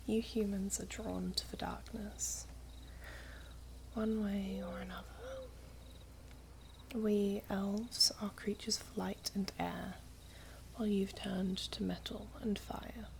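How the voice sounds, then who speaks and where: Casting: woman as elven princess for ASMR fantasy roleplay; hushed, unhurried, creaky-edged, tender yet guarded. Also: medium pitch, level tone, slight background noise. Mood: solemn, reproachful. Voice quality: breathy, whispery. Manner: slow, hushed, formal storybook phrasing. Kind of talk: ASMR roleplay, soft whispery close speech.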